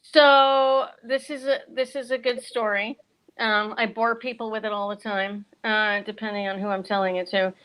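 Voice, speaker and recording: A woman's voice: fairly high pitch, steady tone, normal speed, fairly bright tone, smooth, low-quality recording. A middle-aged American woman chatting casually as a guest bookseller and author, telling things in a chatty way with casual asides. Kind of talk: casual chat on a virtual event.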